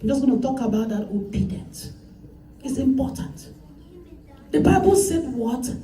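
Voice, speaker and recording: High-pitched woman preaching in an echoey hall. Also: animated, measured pace, warm tone. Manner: preaching with call-and-response, emphatic repetition. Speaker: pastor. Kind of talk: sermon. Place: church hall. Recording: echoey church hall, voice from far off.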